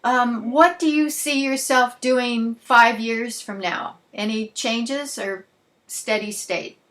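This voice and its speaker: A woman's voice: a little high, slow, fairly bright tone. A woman, asking questions conversationally as an interviewer.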